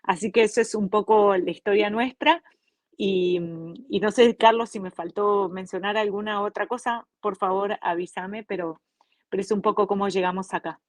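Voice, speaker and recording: A middle-aged woman with an Argentine Spanish accent speaks fluently and without fuss, like a co-founder walking someone through how her company works. Her voice is close and dry, and it sits at an ordinary pitch for a woman. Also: steady tone, moderate pace, no room sound. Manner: fluent, businesslike storytelling with brief fillers. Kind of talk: online interview.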